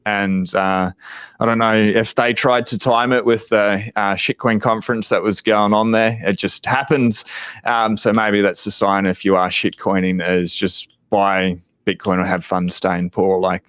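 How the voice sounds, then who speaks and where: A man's voice: fairly low pitch, flat delivery, measured pace, fairly bright tone, clean tone, no echo. A man hosting a casual livestream chat, rambling and laid-back, swearing now and then. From Australia. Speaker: livestream host.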